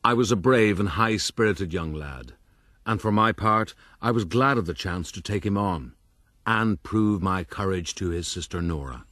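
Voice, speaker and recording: An actor playing a pompous, wry Irish gentleman rogue narrating his life in a radio drama. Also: low voice, moderate pace, no echo. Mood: self-satisfied.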